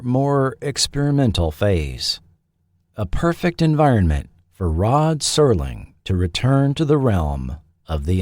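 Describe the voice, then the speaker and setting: A man narrating a scripted history documentary as its host, with deliberate, dramatic phrasing. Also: mid-range voice, a little mellow.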